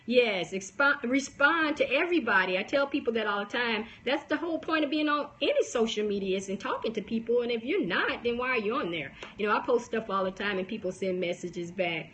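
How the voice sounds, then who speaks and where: Woman in her 40s, kinda high baby voice, chatty and animated, lots of restarts, live on her phone, bit of faint hiss. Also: fairly quick, few pauses. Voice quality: youthful baby voice. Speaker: book promotion coach and podcaster. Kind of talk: casual live-stream chat.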